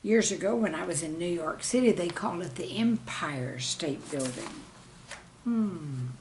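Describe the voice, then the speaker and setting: A woman, a teacher, reading her own scripted humorous anecdotes in a deliberate storytelling manner. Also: lowish voice, lively intonation, a little mellow, slight background noise.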